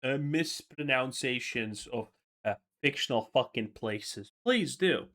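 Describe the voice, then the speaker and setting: A young co-host chimes into a casual gaming chat with sarcastic jabs and loud outbursts. The voice is bright and very lively, and it leaps high in pitch whenever he protests. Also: unhurried. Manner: sarcastic, teasing, jumps to loud exclaims. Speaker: podcast co-host.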